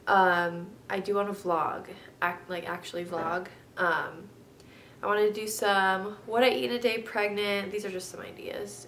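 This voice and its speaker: A young woman vlogger with a stuffy, congested nose, chatting casually in a sit-down video and filling her talk with ums and likes. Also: fairly bright tone. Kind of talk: casual sit-down vlog chat. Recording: echoey room, faint rumble.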